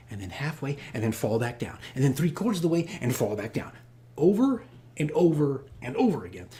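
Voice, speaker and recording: A man's voice: mid-range voice, darkish tone. A man hosting a casual vlog as a game master, telling stories in a rambling, self-deprecating way and repeating phrases for emphasis. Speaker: host, dungeon master. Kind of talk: casual campaign diary vlog, storytelling.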